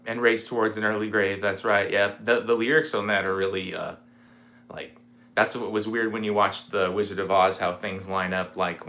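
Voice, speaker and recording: A man in his forties chatting casually on a home livestream, rambling with lots of fillers, with a mellow voice. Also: low-pitched, slight background noise. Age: 40s. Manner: rambling, relaxed, many fillers. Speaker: livestreaming guitarist. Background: guitar playing.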